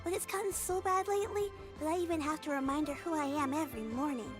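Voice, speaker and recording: A woman voices a plucky, kind-hearted little kid from a video game. The voice is very high and childlike, earnest and polite, with soft music underneath. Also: fast talker, lightly acted. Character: kind, plucky young child caring for grandmother.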